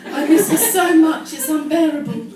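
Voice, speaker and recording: A woman's voice: high-pitched, moderate pace, crowd noise. A woman giving a live comic reading from her own teenage diary, acting out the drama with amused asides. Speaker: storyteller on stage. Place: live storytelling event venue with audience. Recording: very echoey, noisy live venue, distant mic.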